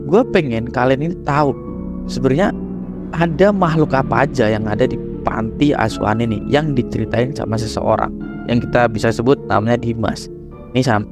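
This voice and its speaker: A young man, hoarse and nasal from a cold, chatting casually to viewers as he tells a horror story. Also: mid-range voice. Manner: chatty, addresses viewers as guys. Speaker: horror storyteller, channel host.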